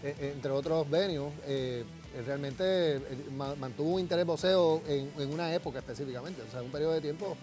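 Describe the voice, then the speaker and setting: Puerto Rican man, slightly high, over music. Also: brisk, few pauses. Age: middle-aged. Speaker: sports show co-host. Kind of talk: casual sports talk. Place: TV studio at a desk.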